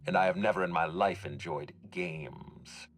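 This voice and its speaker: An actor playing a formal, guarded executive in a video game, speaking in a measured, courteous and dryly stern way. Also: male voice, sits low. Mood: grave, suspicious. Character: formal, guarded male executive overseeing hotel and orchards. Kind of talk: dramatic video game dialogue.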